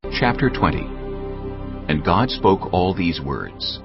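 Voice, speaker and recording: A man narrating scripture in a steady, measured, grave manner. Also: low-pitched, vocal fry. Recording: dull lo-fi with music bed. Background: music.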